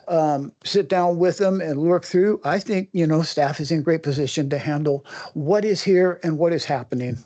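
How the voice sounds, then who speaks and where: Guy at the council podium, higher voice but dark and mellow, urgent and a bit rambling, muffled lo-fi sound. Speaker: public commenter at city council. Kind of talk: public comment.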